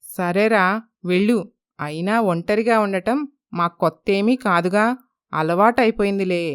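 A woman playing an older, possessive mother in an animated moral story, martyred and reluctant in manner. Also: mid-range voice, a little slow, clean tone, close to an everyday voice. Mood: resigned, hurt.

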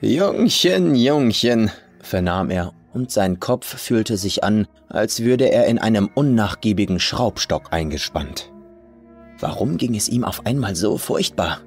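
A man narrates a horror story in a dramatic, scripted style. His voice sits fairly low and moves widely in pitch, over faint atmospheric music. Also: expressive, unhurried, husky. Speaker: audiobook narrator, voice actor.

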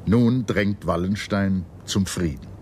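A man reading scripted historical documentary narration in a measured, serious storytelling manner. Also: sits low, background noise.

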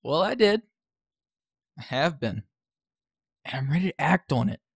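An actor plays an eager teenage schoolboy in an audio drama. His voice is on the higher side and recorded dry. He pushes his point insistently, stumbling over words. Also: sing-song, a little slow, slightly rough, no room sound, full character voice. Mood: eager, determined.

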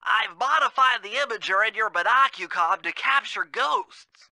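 An actor playing a brainy, earnest turtle gadget expert in a video game, nasal and know-it-all. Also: male voice, sits high, lively intonation, normal speed, pushed and bright, no echo, heavily acted. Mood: confident instructing.

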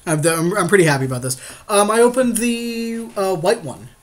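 A man's voice: high-pitched, expressive, moderate pace, slight background noise. A man co-hosting with casual, agreeable chat, chiming in on plays in an online card game. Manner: casual swearing. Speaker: podcast co-host watching and advising on an online card game.

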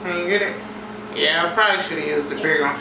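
A woman's voice: low-pitched, fast talker, very noisy. Woman in her 30s chatting thru a cooking demo, far off camera mic, echoey kitchen. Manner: chatty, relaxed, folksy phrases. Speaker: home cooking vlogger. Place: home kitchen, indoors. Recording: roomy kitchen, distant mic, clattering dishes.

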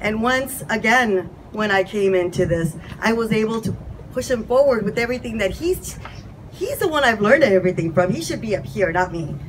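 A woman's voice: mid-range voice, expressive, normal speed, noisy background. A woman in her fifties speaking candidly and with passion into a handheld mic to a crowd at an outdoor event. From California. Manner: candid, impassioned, self-deprecating. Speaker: community speaker. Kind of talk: informal speech to a crowd. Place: outdoor patio event, crowd standing around.

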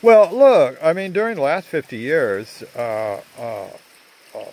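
An older man narrating a rambling video outdoors among trees, talking in a halting way with many fillers, recorded on a handheld phone. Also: mid-range voice, gravelly, a little mellow, a little hiss or hum. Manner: slow, halting, full of uh fillers. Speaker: vlogger. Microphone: phone at arm's length.

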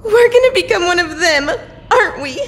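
A woman voicing an excitable teenage girl hero who talks with wide-eyed eagerness. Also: extremely high pitch, normal speed, big-room sound. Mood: scared.

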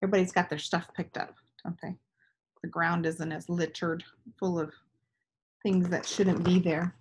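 A woman's voice: lowish voice, little pitch movement, moderate pace, a little hiss or hum, poor audio. A woman in her thirties reading aloud for young children, teacherly and prompting with questions. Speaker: read-aloud storyteller. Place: small living room indoors.

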